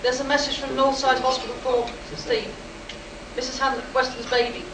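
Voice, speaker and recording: A woman's voice: high-pitched, little pitch movement, normal speed. A woman in a live amateur radio play, playing a dutiful, concerned control room assistant giving reports. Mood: businesslike. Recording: distant, echoey live hall recording with noise.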